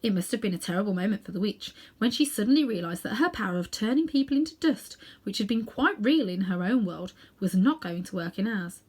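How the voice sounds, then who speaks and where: A woman's voice: mid-range voice, animated, brisk, hardly any pauses, husky. Woman reading a children's story expressively. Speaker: storybook reader.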